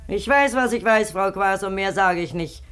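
Actress as village gossip, mid pitch, knowing, secretive, stubborn, radio play. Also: female voice, moderate pace, fairly bright tone, clear, resonant tone, close to an everyday voice. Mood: smug, knowing. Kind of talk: German radio play acting.

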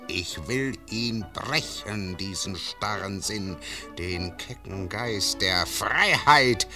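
An actor playing an arrogant, harsh tyrant governor in a classic radio play, commanding and gravelly. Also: male voice, sits low, full character voice. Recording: radio play with music behind.

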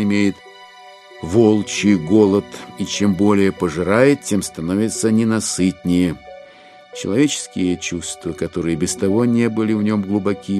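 Deep-voiced, expressive male narrator. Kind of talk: scripted dramatic literary narration.

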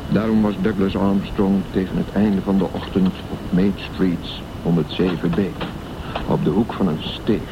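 A man with a low voice tells a story as narrator of a radio play. His delivery is measured and literary, on a dull recording with clear noise behind it. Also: fairly even intonation.

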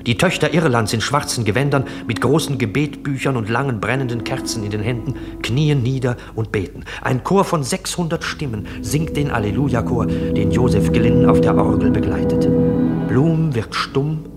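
An actor serves as a solemn male narrator in a literary radio drama. He declaims grotesque stage scenes in a mid-range voice, with loud music running behind him. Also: mid-range voice, normal speed, lightly acted. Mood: solemn, declaiming.

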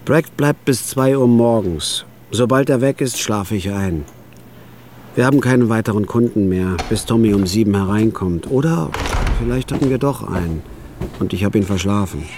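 An actor playing a tired, cynical night clerk who narrates in a dry, wry way in a radio drama. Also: male voice, lowish voice, normal speed, noisy background, lightly acted. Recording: music bed under the voice.

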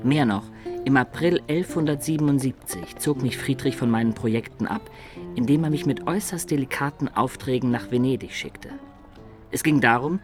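A man's voice: medium pitch, lightly acted. A male actor plays a wistful storyteller in a radio drama, an adventurer looking back on his life. His voice sits in the middle range, confiding and reflective, with faint music underneath.

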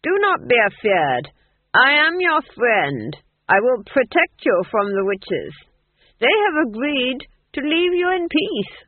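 A woman's voice: on the low side, very animated, unhurried, slightly rough, no room sound, narrow, tinny audio, heavily acted. A woman playing a pompous, unhinged, swooping-voiced duke. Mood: grand declaiming. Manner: pompous, wheedling, giggly, swinging in mood. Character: unhinged male duke, falsely cheerful, grandiose tyrant.

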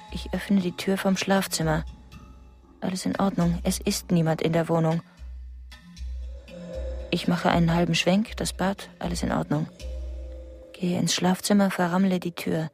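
A woman's voice: lowish voice, darkish tone, lightly acted. An actress playing an anxious, fearful wife in a radio drama, narrating her night thoughts in clipped fragments. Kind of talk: radio drama inner monologue.

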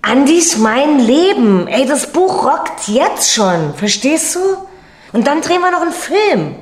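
An actress playing a brash, cynical hustler in a radio play, talking in slangy, offhand dialogue. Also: female voice, high voice, very animated, measured pace. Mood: scheming, excited.

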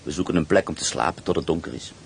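A calm, practical escaped prisoner of war in a radio play. His voice is low and even, with faint noise behind. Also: rapid-fire, vocal fry. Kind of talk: radio drama acting.

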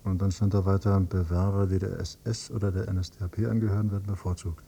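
A male actor playing a grown son, a teacher, who questions and narrates in a measured, probing way in a German radio drama. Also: low-pitched, level tone, gravelly, warm tone, husky, exaggerated voice. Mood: sober, ironic. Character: accusing grown son, a teacher probing his father's past.

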